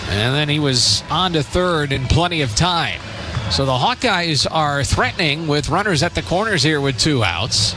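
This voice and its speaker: A man doing live radio baseball play-by-play, unhurried between pitches and lifting his delivery when there is action. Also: lively intonation, music in the background. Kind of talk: live radio sports commentary. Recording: clearly noisy outdoor broadcast ambience.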